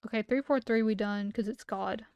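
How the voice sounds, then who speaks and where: A woman livestreamer reading document entries aloud and chatting between them, her voice a little hoarse from talking so much, sometimes skipping text with "blah, blah". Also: mid-range voice, moderate pace, a little mellow, no echo, muffled recording. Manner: skips text with "blah, blah" asides. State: losing her voice. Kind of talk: reading entries aloud, then casual chat.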